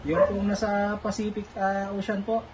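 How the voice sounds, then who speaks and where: A man's voice: sits high, completely flat delivery, moderate pace, very noisy. A polite man making a casual two-way radio call as an amateur operator, repeating himself for clarity. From Philippines.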